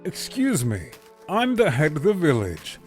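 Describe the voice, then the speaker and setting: A man voicing a polite, earnest male village mayor who speaks in a courteous, measured way. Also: a little high, wildly swooping pitch, darkish tone, faint music behind.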